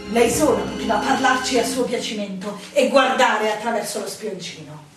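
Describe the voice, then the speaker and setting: An actress playing a stern, condescending innkeeper woman in an Italian radio play, speaking in a formal, admonishing way. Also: fairly high pitch. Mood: indignant, proud. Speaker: stage actor in a radio play. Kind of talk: theatrical radio drama dialogue. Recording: very echoey, distant, music behind.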